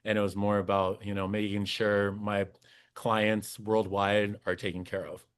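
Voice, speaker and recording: Young adult man, low-pitched voice, earnest and reflective, casual interview storytelling. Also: flat delivery, a little slow, clean tone. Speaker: podcast guest, nightlife and members-club professional. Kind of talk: casual interview talk, personal career story.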